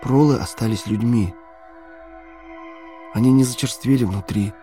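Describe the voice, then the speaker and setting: A man's voice: mid-range voice, a little slow, mellow. A man giving a dramatic scripted narration of a novel as the narrator of an audio play. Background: music.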